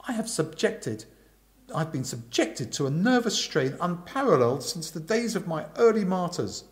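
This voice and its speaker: A man voicing an excitable, dramatic young upper-class gentleman with exclamatory old-fashioned slang. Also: a little high, moderate pace, pitched above the performer's own voice. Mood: aggrieved.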